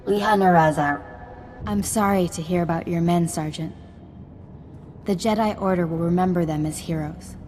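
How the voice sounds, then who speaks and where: An actress playing an earnest, caring female Jedi ally in a video game, speaking in a formal, measured manner. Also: on the low side, a little mellow, slight background noise, natural voice. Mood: solemn.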